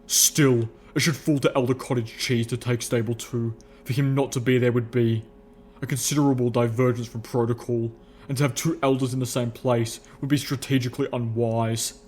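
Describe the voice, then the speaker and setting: Man as a rumbling, stern ghoul soldier. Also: fairly even intonation, darkish tone, slight background noise, natural voice. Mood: formal, measured. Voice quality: low rumbling growl. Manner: formal, grave, deliberate. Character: stern armored ghoul soldier, male, old, rigidly dutiful.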